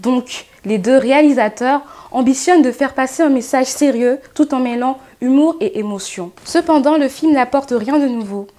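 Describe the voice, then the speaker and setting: A young woman presenting a film review in a student news segment, reading a prepared script in a measured way. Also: high voice, dark tone. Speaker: culture columnist.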